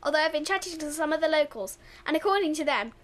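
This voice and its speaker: Young woman as a high-voiced roving reporter. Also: expressive, fast, fairly bright tone. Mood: earnest. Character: earnest, chatty male roving reporter. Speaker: drama student. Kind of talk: mock news report in a radio play.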